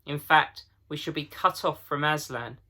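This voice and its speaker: A man's voice: a little high, unhurried, pushed and bright. A man voicing a blunt, grave male beaver in a storybook reading, with weighty, urgent delivery.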